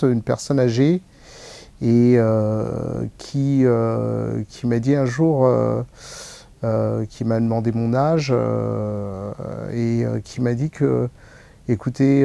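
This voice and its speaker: A hospital nurse answering interview questions, hesitant and full of pauses. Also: male voice, mid-range voice, vocal fry, warm tone. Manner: hesitant, many fillers.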